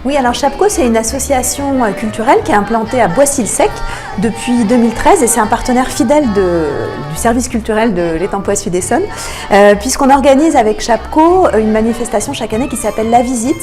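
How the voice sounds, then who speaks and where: A woman giving explanatory answers as a radio interview guest, often pausing between phrases. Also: a little high, over music. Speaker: interview guest, cultural programme manager.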